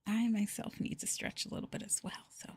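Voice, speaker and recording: A woman hosting an ASMR livestream, chatting casually and gently in a soft, breathy voice that often drops into a whisper, close to the mic. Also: medium pitch, level tone, brisk. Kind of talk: soft-spoken ASMR casual chat with viewers. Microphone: close ASMR microphone.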